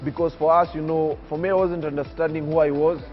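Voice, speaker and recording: Casting: 19-year-old man from Kenya, high voice, preacher-like cadence, dull TV sound with faint music. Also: level tone, quick pace. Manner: oratorical, emphatic, preacher-like cadence. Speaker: young public speaker interviewed on TV. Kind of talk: earnest personal storytelling.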